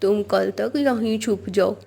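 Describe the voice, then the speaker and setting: A woman's voice: medium pitch, fairly even intonation, a little slow, vocal fry, mellow, slightly rough. A woman voicing a kindly, protective mother-like dove in a children's cartoon, soothing and reassuring. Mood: gentle concern.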